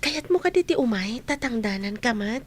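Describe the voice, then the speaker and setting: A woman plays a hardworking young masseuse with a fairly high voice. She is chatty, earnest and hopeful, with an Ilocano accent, in the manner of a village radio comedy. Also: animated, normal speed, no room sound. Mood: friendly, teasing. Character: hardworking, hopeful young massage clinic owner. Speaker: radio drama performer. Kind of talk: comedy radio drama acting.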